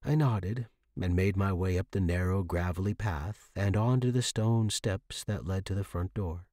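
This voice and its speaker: A man's voice: low voice, moderate pace, a little mellow, no room sound, clean studio sound. A man reading a story aloud as a scripted, dramatic fiction narration. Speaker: audiobook narrator.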